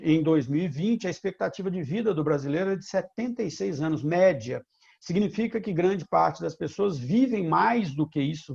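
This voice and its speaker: A man in his fifties from Brazil gives an earnest online talk, his voice gravelly and a little husky, a touch high for a man. He presses harder and climbs higher when he hits his key points. The sound is dull and lo-fi. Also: darkish tone. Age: 50s. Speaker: consultant and lecturer.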